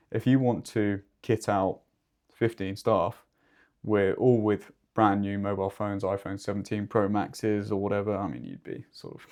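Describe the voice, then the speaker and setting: A man co-hosting a business discussion as an expert, explaining in a measured, wordy, conversational way. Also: fairly low pitch, a little mellow. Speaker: podcast co-host and two-way radio expert.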